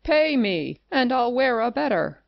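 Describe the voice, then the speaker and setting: A dry, lo-fi audio play voice with heavy gravel in it. A man acts a pious creditor, scolding and indignant, in theatrical period speech. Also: very high-pitched, big pitch swings, unhurried, no room sound, exaggerated voice. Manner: righteous, scolding. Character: indignant, pious creditor demanding his money. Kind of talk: theatrical period comedy acting.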